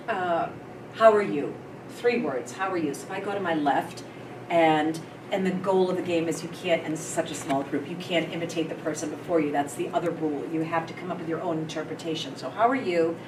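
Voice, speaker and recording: A woman drama teacher leading a hands-on workshop, coaching and demonstrating in a theatrical, animated way. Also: lowish voice. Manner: theatrical, animated, demonstrates lines in exaggerated delivery. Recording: echoey room, distant mic, noisy background.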